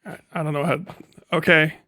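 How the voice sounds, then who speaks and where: Profane man ranting, higher-pitched voice. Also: flat delivery, fairly quick, bright, forceful tone, no echo. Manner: profane, slangy, sarcastic, laughs often while talking. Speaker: livestreamer, host. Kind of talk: casual livestream rant and commentary.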